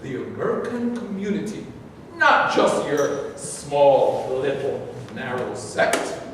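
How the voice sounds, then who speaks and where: A man's voice: mid-range voice, big pitch swings, takes it slowly. A man reading a pompous, formal advocate. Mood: indignant. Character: pompous male advocate for the mother. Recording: echoey hall from far off, faint noise.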